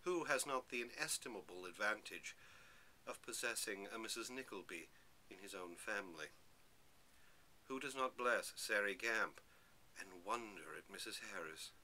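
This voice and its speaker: A man reads an old literary lecture aloud in a very bright, forceful voice. His delivery is theatrical and emphatic, with pitch that swings widely from phrase to phrase. There is some hiss on the recording. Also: expressive, moderate pace. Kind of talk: dramatic literary reading of a Victorian lecture. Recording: hissy, noisy recording.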